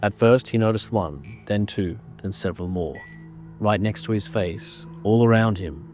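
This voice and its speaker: A man narrating a scripted horror story in a measured, dramatic manner. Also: fairly low pitch, a little mellow, soft background music.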